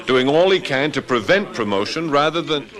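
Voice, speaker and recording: An actor playing an earnest, excitable young Paris gendarme in a radio mystery drama, urgent and halting. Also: male voice, fairly high pitch, crowd noise, lightly acted. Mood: grumbling. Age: young adult.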